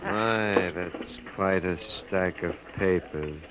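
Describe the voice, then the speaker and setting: Low-voiced, courteous science writer. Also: male voice, drawn-out words. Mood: courteous, probing. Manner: formal, smooth-mannered, precise. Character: courteous, suave, insistent male science writer. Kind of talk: 1950s radio drama acting. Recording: noisy, muffled old 1950s radio recording.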